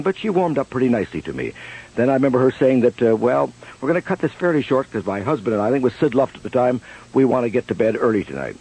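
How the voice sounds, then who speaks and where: A man's voice: mid-range voice, mellow, breathy edge, low-quality recording. A middle-aged man hosting a radio show, reminiscing in a conversational, anecdotal way. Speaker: radio host. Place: radio studio.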